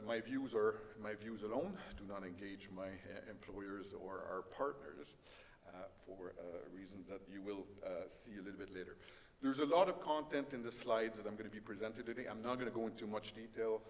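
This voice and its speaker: A middle-aged man with a French Canadian accent gives a conference presentation in a halting way, with many fillers and light self-deprecating humor. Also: lowish voice. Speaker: conference speaker. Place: conference hall. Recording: reverberant conference hall with faint noise.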